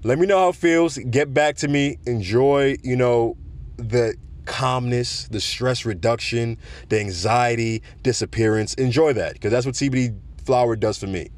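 Deep-voiced man hosting a podcast rant. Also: normal speed. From South Florida. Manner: projects his voice, relaxed and conversational, fond of "you know". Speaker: podcast host. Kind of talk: casual solo podcast rant.

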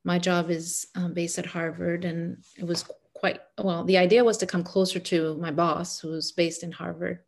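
A woman's voice: fairly low pitch, level tone, moderate pace, vocal fry, few pauses. A middle-aged woman who grew up in Egypt, speaking as an interview guest in an earnest, chatty way full of restarts. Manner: warm, earnest, many fillers and restarts. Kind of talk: casual conversational interview.

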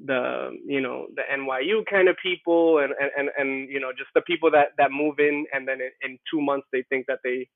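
A man's voice: mid-range voice, steady tone, brisk, few pauses, dry recording. A man from New York City, a podcast guest chatting casually and rambling, with many repeated false starts. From Queens, New York City. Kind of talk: casual conversation. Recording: tinny phone-like line, dry.

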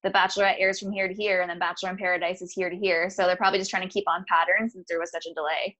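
A woman co-hosting a podcast, explaining things quickly in a casual, upbeat way. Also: lowish voice, flat delivery, a bit bright.

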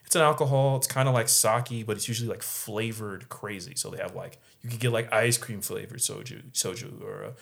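A man co-hosting a casual podcast, telling a story in an animated way and acting out the people in it. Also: fairly low pitch, fairly quick, vocal fry, hardly any pauses. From San Diego area. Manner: animated, acts out quoted voices. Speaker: podcast co-host.

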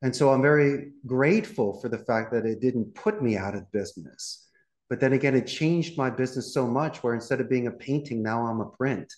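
A man's voice: mid-range voice, fairly quick, darkish tone, reverberant. A middle-aged man from Philadelphia, a call center CEO, speaking as a podcast guest in a confident, polished way. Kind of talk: podcast interview.

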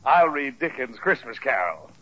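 An actor playing a hearty, wily, condescending company boss in an old radio sitcom, pompous, theatrical and jovially persuasive. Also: male voice, mid-range voice, sing-song, normal speed. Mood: genial, condescending. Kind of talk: 1940s radio sitcom acting. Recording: muffled vintage radio sitcom recording.

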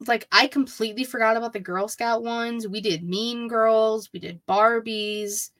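A woman's voice: on the high side, fairly even intonation, unhurried, fairly bright tone, dry recording, studio quality. A woman co-hosting a podcast, chatting casually as a wrestling fan. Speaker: podcast co-host.

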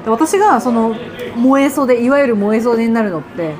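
A woman's voice: fairly high pitch, expressive, normal speed. A woman in her thirties chatting casually and expressively as a podcast host, in a colloquial way. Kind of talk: casual chat. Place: restaurant, recorded while eating. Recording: busy restaurant chatter behind. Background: crowd chatter.

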